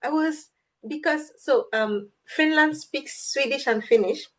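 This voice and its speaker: Earnest Nigerian woman, higher voice. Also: unhurried. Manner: earnest, reflective, lightly amused. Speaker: guest, language advocate. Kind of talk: interview answers.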